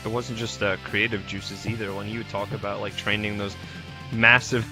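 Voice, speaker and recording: A man's voice: fairly low pitch, flat delivery, moderate pace, music in the background. A man chatting casually as a panelist, reminiscing in a group call. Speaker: podcast panelist.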